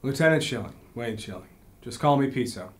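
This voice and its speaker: An actor playing a brash, skeptical lieutenant pilot, cocky and casual, in an audio drama. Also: male voice, medium pitch, expressive, brisk, lightly acted.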